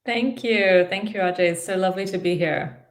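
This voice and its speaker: A woman's voice: mid-range voice, brisk. A woman in her forties from Singapore, with an American-sounding accent, answering as a career coach in a chatty way full of "um" and restarts. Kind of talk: conversational interview answers.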